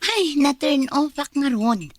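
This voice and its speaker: A woman with a Filipino accent playing a young single daughter in a radio drama, expressive and quick to protest about her love life. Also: high voice. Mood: complaining. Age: young adult. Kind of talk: Ilocano radio drama acting.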